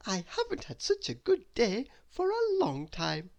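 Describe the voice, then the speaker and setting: A woman voicing a cheerful little girl in a storybook, bright and chirpy. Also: on the high side, wildly swooping pitch, unhurried, voice pushed up, exaggerated voice.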